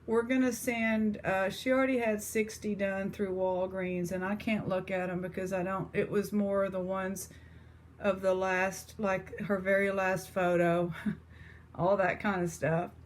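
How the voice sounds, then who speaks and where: A woman's voice: mid-range voice, level tone, measured pace. Rambling East Texas woman talking casually. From East Texas, USA. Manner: rambling, with many fillers and restarts. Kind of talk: casual chat to viewers.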